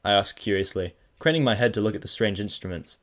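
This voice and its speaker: A man's voice: on the low side, fast talker, a bit bright. A young man narrating a story in the first person in a dry, sarcastic, grumbling manner.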